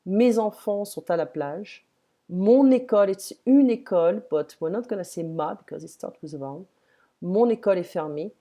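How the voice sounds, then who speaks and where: A woman teaching grammar in English, patiently modelling each word slowly. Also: mid-range voice, warm tone. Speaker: French tutor. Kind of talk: one-on-one language lesson, explaining grammar.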